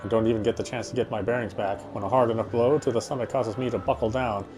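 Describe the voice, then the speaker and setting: A man's voice: medium pitch, steady tone, quick pace, over music. A man streaming a game and reading its narration aloud, now and then stumbling over words.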